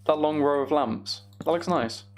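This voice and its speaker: A man's voice: on the high side, normal speed, faint music behind. A man streaming a video game, chatting casually and thinking aloud as he works things out. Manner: muttering to himself while working things out. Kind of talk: casual live gameplay commentary, thinking aloud.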